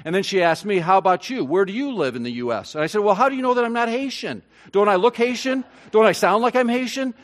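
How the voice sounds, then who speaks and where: A man preaching to his congregation in a slightly raised voice. His delivery is earnest and often halting, full of fillers and restarts, then climbs to emphatic peaks. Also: high voice, lively intonation. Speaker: pastor. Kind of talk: sermon.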